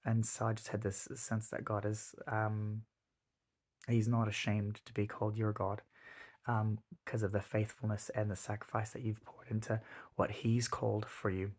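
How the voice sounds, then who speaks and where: A man in his thirties giving his father a heartfelt birthday tribute, earnest and halting with many pauses and restarts. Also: lowish voice, flat delivery, a little mellow. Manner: earnest, reflective. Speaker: son.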